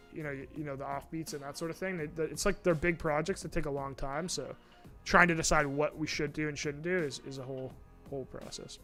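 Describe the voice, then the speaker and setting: A man's voice: a little high, fairly bright tone. A man co-hosting a live stream, chatting casually with lots of hesitations and the odd swear. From Canada. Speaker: co-host. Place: studio.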